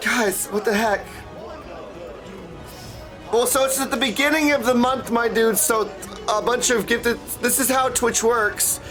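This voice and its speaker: A man's voice: very high-pitched, fairly even intonation, measured pace, pauses often, music in the background, rough recording. A man hosting a casual live stream, chatting to his viewers in an effusive, gushing way and calling them dudes. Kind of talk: casual livestream chat to viewers.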